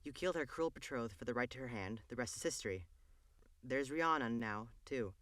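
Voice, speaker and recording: Bright, fussy royal steward played by a man. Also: medium pitch, lively intonation, fairly quick, no echo, close to an everyday voice. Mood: sardonic. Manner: sardonic, scolding. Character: fussy, exasperated long-serving male royal steward. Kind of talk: audio drama acting.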